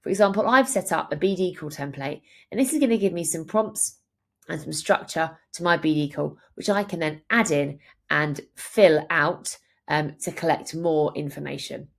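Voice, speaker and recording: A woman presenting a software tutorial, explaining each step in a clear, instructional way. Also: sits low, normal speed. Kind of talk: screen-share tutorial narration, instructional.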